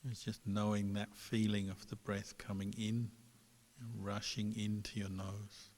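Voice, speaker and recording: A man leads a guided meditation as a monk would, calmly and very slowly. His voice sits on the low side and leaves gentle gaps between phrases. It rings out with long reverb, as in a large hall. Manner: calm, unhurried, gentle pauses between phrases. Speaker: Buddhist monk, meditation teacher.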